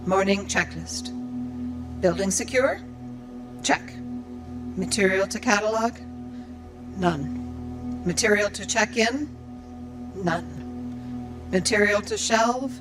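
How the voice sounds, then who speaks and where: A woman's voice: medium pitch, slow pace, long pauses, close to an everyday voice. A woman playing a dutiful, earnest android librarian in an audio drama, speaking in formal, precise, procedural phrases. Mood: dutiful, methodical. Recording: music behind the voice. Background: music.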